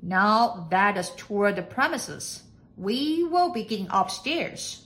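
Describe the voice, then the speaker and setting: A bossy, eccentric lord from a storybook, played by a woman. The delivery is unhurried and pompous, with emphatic commands and swooping intonation. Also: mid-range voice, big pitch swings, a bit bright, rough recording. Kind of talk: storybook character acting.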